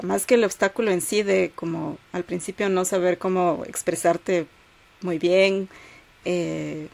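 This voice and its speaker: A woman's voice: lowish voice, vocal fry, darkish tone. A woman from Latin America talking as a podcast guest, thinking aloud hesitantly with many fillers. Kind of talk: casual podcast chat.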